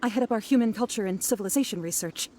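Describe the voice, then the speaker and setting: A woman's voice: medium pitch, racing, a little mellow, close to an everyday voice. A woman voicing a polite, flustered, apologetic female scholar in a video game, courteous and hesitant. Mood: relieved.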